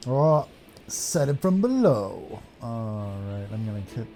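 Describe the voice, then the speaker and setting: A man's voice: mid-range voice, very animated, takes it slowly, mellow, faint music behind. A man playing a video game and commenting casually, swearing and muttering asides to himself.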